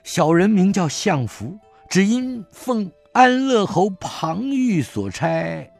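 A man playing a pleading, high-pitched captured assassin. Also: sing-song, takes it slowly, creaky, darkish tone, soft background music, full character voice. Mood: abject. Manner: raised, pleading, self-abasing. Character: humbled male assassin, young-ish, deferential.

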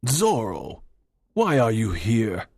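A man voicing a stern, indignant governor in a storybook, with a husky voice and emphatic, authoritative delivery. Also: on the low side, wildly swooping pitch, takes it slowly, a little mellow, no echo, clean studio sound, exaggerated voice. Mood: stern, accusing. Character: stern, indignant male governor, quick to accuse.

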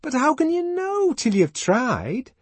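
Man playing an emphatic, high-pitched young woman, scolding. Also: sing-song, normal speed, a little mellow, slightly rough, no echo. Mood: exasperated. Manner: emphatic, preachy. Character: exasperated, energetically protesting young woman, sententious and scornful. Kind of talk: audiobook character acting.

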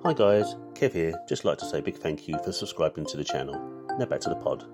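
Podcast guy doing channel promo, really deep voice, animated pitch, loud music behind. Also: fast. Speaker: podcast host.

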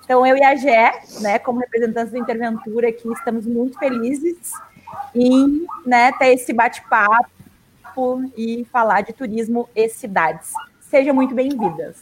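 A woman's voice: a little high, moderate pace, a little hiss or hum, low-quality recording. A woman from Mato Grosso hosting an online talk show, friendly and welcoming, on a video call. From Mato Grosso, Brazil. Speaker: host.

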